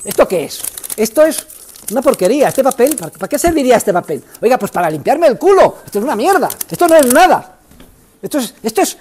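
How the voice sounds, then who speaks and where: Theatrical older professor, high voice. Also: male voice, very animated, quick pace, breathy edge. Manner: theatrical, emphatic, swings from shouting to low asides. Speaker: economics professor. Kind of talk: university lecture. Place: large university classroom.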